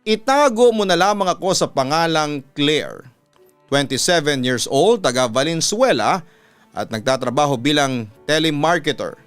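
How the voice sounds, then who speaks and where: A man's voice: on the high side, a bit bright, dry recording. A man with a Filipino accent, a radio announcer reading a listener's letter as a dramatic story. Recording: music behind the voice. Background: background music.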